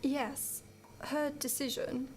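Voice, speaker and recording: A woman plays a guarded female suspect in an amateur radio drama. Her voice is high, and she keeps her answers terse. A faint noise sits behind the recording. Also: level tone, slow pace, darkish tone. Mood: defensive. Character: guarded female hospital worker suspected of murder.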